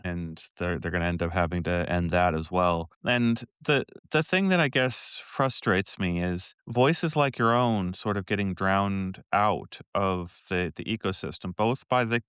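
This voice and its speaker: A man interviewing a guest in a relaxed, musing way, often restarting his phrases. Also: low-pitched, clear, resonant tone, no room sound, clean studio sound. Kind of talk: casual interview conversation.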